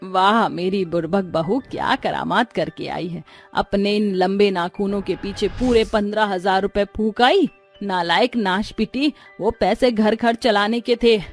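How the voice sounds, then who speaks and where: A woman's voice: mid-range voice, unhurried, close to an everyday voice. Cartoon role: elderly mother-in-law played by a woman, exasperated, nagging, sarcastic, with music underneath. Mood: scolding. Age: older. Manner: scolding, weary, sarcastic. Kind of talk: Hindi cartoon story character acting.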